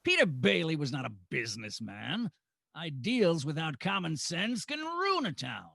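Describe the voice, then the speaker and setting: Casting: man as contemptuous old miser banker for vintage radio drama, heavy creak, animated pitch swings. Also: unhurried, dry recording, clean studio sound, exaggerated voice. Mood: scornful. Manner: contemptuous, domineering. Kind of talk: 1940s radio acting.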